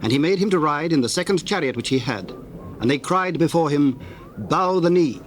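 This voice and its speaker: A man narrating scripture in a solemn, measured, formal way with theatrical diction. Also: on the high side, expressive, slight background noise.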